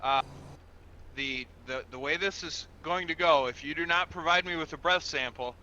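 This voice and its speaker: A man's voice: on the high side, normal speed, pushed and bright, lo-fi sound, slight background noise. A man playing a patient, procedural police officer in an online game roleplay, explaining things step by step in a formal, instructional way. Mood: firm, exasperated. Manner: methodical, instructional, repeats himself, formal with sir.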